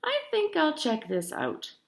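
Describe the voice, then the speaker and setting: A woman voicing a bold, cheery, mischievous old grandma from a storybook, with hearty, brisk exclamations. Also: high voice, wildly swooping pitch, vocal fry, pitched above the performer's own voice, heavily acted. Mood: chirpy, determined.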